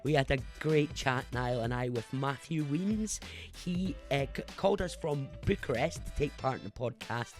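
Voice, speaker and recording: A man's voice: mid-range voice, moderate pace, soft background music. A man hosting a podcast, introducing the episode in an enthusiastic, upbeat way. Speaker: podcast host.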